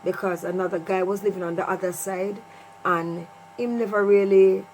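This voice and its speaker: A woman's voice: mid-range voice, fairly even intonation, normal speed, a little mellow, low-quality recording. A middle-aged woman with a Jamaican accent tells a personal story casually to viewers, repeating phrases for emphasis. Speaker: vlogger telling a personal story. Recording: clear mains hum and noise.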